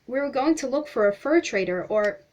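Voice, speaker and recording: Woman doing a polite teen boy, hesitant, earnest, fairly high voice. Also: lively intonation, a little fast, a little mellow, close to an everyday voice.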